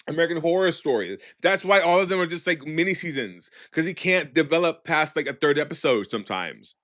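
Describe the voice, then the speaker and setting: A man co-hosting a podcast, chatting in a sassy, slangy, animated way over a video call. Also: high-pitched. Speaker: podcast co-host. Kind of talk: casual chat.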